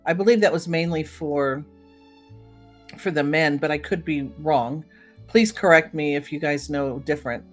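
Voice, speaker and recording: A woman's voice: sits low, normal speed, faint music behind. A woman hosting a solo podcast in a casual, chatty way, talking directly to her listeners. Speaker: podcast host. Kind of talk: casual solo podcast commentary on old time radio.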